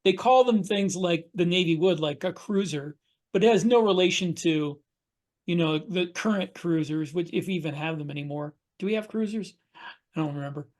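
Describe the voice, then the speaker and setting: A man in casual panel discussion on a video call, explaining in a halting, conversational way. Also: high voice, darkish tone. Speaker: podcast panelist and sci-fi author.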